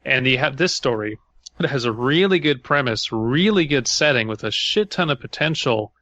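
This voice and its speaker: A man's voice: mid-range voice, lively intonation, normal speed, pushed and bright, dry recording, lo-fi sound. A man co-hosting a podcast, casually critiquing stories in a blunt, slangy way. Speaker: podcast host.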